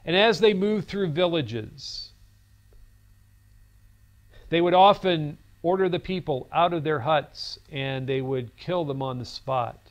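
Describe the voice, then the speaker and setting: A man's voice: fairly high pitch, slow pace. A man in his fifties giving a recorded seminary lecture in a studio classroom set, speaking earnestly and telling a story on a lapel mic. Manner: earnest, storytelling, gestures while talking.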